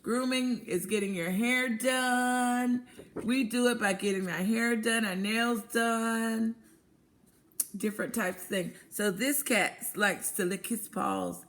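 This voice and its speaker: A woman's voice: fairly high pitch, fairly even intonation, fairly bright tone. A woman reading a picture book to young children as a teacher, asking questions, affirming answers and explaining words simply. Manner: asks questions, pauses, then affirms answers. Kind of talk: interactive picture-book read-aloud lesson.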